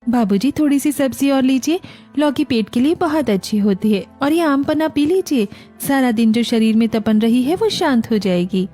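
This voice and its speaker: A woman acts out a devoted young daughter-in-law from a cartoon family drama. Her voice is high and mellow, and she speaks with gentle respect and care, over clear background music. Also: animated, normal speed. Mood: patient, reassuring. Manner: reassuring, respectful. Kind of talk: Hindi cartoon family story acting.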